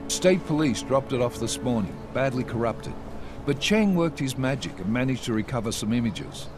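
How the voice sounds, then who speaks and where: Stern male intelligence boss, acted, authoritative, terse, mid-pitched, video game drama with scoring. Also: animated, normal speed, music in the background, close to an everyday voice. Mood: stern, businesslike. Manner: clipped, authoritative. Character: stern, controlled male intelligence chief giving orders. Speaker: agency director briefing agents. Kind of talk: video game cutscene acting.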